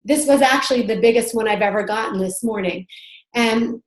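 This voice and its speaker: A hoarse woman recovering from strep throat, giving emphatic, self-assured motivational talk about network marketing on a conference call. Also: fairly high pitch, moderate pace, reverberant, rough recording. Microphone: phone or computer on a conference call.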